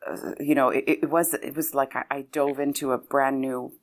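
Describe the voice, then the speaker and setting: A low-voiced woman from Lebanon who grew up speaking Arabic talks about her singing career. She speaks thoughtfully, circles back, restarts her sentences and laughs easily. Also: fairly even intonation, normal speed. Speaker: opera singer, guest. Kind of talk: conversational interview.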